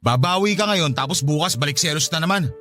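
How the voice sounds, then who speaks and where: A man's voice: fairly high pitch, animated, fast, sharp tone, soft background music. A man playing an exasperated, wounded husband, emotional and confrontational, in a radio drama. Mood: bitter, weary. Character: exasperated, wounded husband, defiant and weary of distrust. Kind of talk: radio drama dialogue acting.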